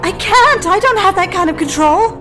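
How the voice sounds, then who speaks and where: A desperate teenage girl hero, acted by a woman cries out in a very high voice. It echoes under loud music in an audio drama. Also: lively intonation, normal speed. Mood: panicked. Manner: panicked, crying out. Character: frightened teenage girl superhero, strained and desperate.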